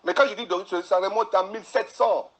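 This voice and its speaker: A man's voice: sits high, fairly even intonation, racing, dull audio. A man from DR Congo, a guest analyst giving long explanations and often repeating words as he searches for them. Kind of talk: explanatory interview talk.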